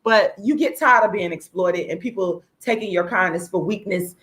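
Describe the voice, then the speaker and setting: Slightly hoarse, outspoken woman livestreamer. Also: fairly low pitch, moderate pace, fairly bright tone. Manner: informal, outspoken, emphatic, repetitive urging. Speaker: livestream host, activist. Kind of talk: casual livestream talk to viewers.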